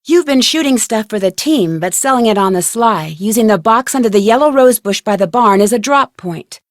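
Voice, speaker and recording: A woman playing an inquisitive, plucky young female amateur detective in a video game, speaking in an earnest, expressive way. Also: a little high, normal speed, no echo, studio quality, close to an everyday voice. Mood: determined, earnest.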